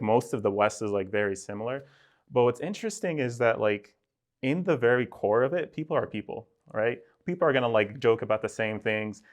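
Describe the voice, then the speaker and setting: A young man from Sudan, a podcast guest talking in a relaxed, rambling way and filling his speech with "like" and "right?". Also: mid-range voice. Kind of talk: casual conversational interview.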